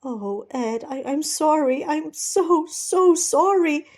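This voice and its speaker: A woman voicing a desperate, apologetic gentleman pirate with an earnest, pleading manner in a storybook reading. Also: sits high, expressive, slow, pitched above the performer's own voice. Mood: remorseful.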